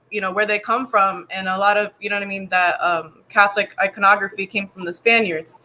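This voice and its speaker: A woman's voice: mid-range voice, pushed and bright. A woman guest artist chatting casually on a video call, talking loosely with lots of fillers. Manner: chatty, many fillers like "you know what I mean". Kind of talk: casual chat.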